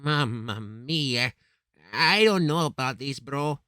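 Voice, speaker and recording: A man voicing an anxious, modest younger-brother plumber in an animated comedy. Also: mid-range voice, slow pace, very noisy. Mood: nervous.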